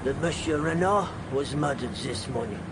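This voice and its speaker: An actor playing a stern, polite gatekeeper with a French accent in a radio drama. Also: male voice, mid-range voice, normal speed, natural voice. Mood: grave. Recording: clear car and outdoor noise.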